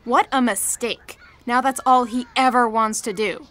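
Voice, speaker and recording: A woman's voice: a little high, steady tone, a little slow, a bit bright. A woman voicing a plain-spoken young girl in an audio drama. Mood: matter-of-fact. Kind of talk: scripted audio drama acting.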